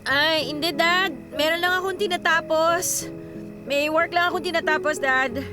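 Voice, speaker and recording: A woman's voice: very high-pitched, fairly even intonation, moderate pace, music in the background. A woman playing an anxious, self-sacrificing young breadwinner in a radio drama, with a Filipino accent. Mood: evasive, reassuring. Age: young adult. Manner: talks to herself, code-switches into English.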